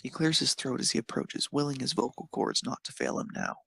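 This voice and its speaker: A man's voice: medium pitch, big pitch swings, fairly quick, vocal fry, bright, forceful tone, breathy edge, dry recording, clean studio sound. A man narrating a scripted piece of fan fiction as a storyteller.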